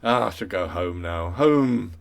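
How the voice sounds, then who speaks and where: A man's voice: low-pitched, expressive, measured pace, creaky, pitched below the performer's own voice, heavily acted. A man playing a weary, exasperated wartime ministry clerk who mutters and grumbles to himself in a radio play.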